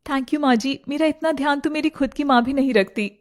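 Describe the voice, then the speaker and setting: A woman's voice: high voice, brisk, slight background noise, close to an everyday voice. A woman voicing a clingy young city woman who coaxes and pleads in a story. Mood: sweetly coaxing. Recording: music playing behind the voice.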